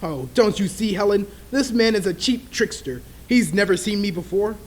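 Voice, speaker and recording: Casting: man as high-pitched, suspicious husband for a vintage radio mystery, clipped, indignant, protective. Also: animated, normal speed. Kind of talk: old-time radio play acting.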